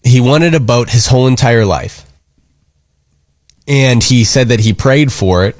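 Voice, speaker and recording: A man's voice: mid-range voice, a little slow, darkish tone, no echo, studio quality. An American man hosting a live radio show, talking casually and earnestly in a rambling, concerned way.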